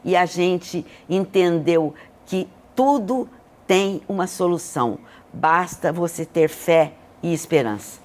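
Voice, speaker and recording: This is an older woman with a Brazilian accent and a voice a little on the low side. She speaks as a guest in an interview, sharing memories and reflections. Her delivery is earnest and emotive. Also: lively intonation, takes it slowly, a little mellow. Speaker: interview guest, hospital leader. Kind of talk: reflective personal storytelling in an interview.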